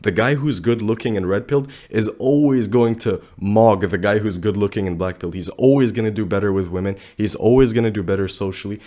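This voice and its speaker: Young male commentator, sick and low-pitched, blunt and slangy, talking fast to camera. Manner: blunt, animated, slangy, says bro a lot. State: sick, voice deeper than usual. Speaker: dating commentary youtuber.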